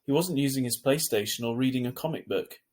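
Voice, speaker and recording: Male storyteller, mid-pitched with a husky edge, narrating a children's novel. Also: quick pace, darkish tone. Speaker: teacher reading a story aloud.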